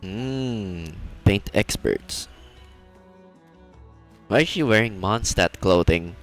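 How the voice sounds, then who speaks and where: A male game streamer talks casually in a deep, smooth voice. He muses aloud with hesitant pauses, close on a streaming mic, with faint game music behind him. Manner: musing, hesitant thinking aloud. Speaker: livestreamer, gamer. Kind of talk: casual live game commentary.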